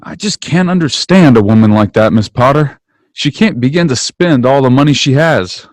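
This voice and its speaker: An eager young man bound for college, acted by a man. His voice is mid-pitched and recorded dry, with an earnest, folksy old-time radio drama delivery. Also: lively intonation, moderate pace, dry recording, close to an everyday voice. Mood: concerned.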